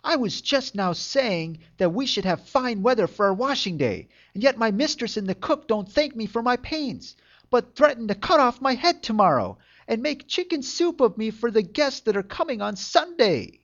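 A man's voice: very high voice, very animated, moderate pace, fairly bright tone, lo-fi sound, pitched above the performer's own voice, exaggerated voice. A man voicing a proud, aggrieved rooster in an emphatic fairytale manner. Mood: indignant.

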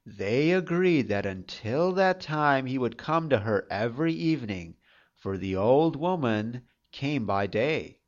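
A man narrating a fairytale from a script in a dramatic, measured, expressive storytelling style. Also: medium pitch, muffled recording.

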